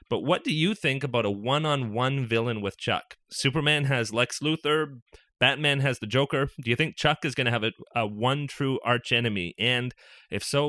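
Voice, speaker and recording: Male podcast host from Canada, mid-pitched and bright, dry close-mic sound, reads emails and chats. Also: dry recording. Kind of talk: reading listener emails and chatting on a fan podcast.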